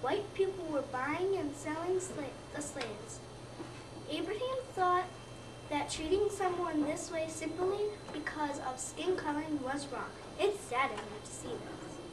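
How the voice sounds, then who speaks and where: A young child of about seven or eight, a second grader, reading a scripted narration aloud in a careful, halting way. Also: high voice, animated. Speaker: second-grade student reader. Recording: roomy, distant mic, noisy background.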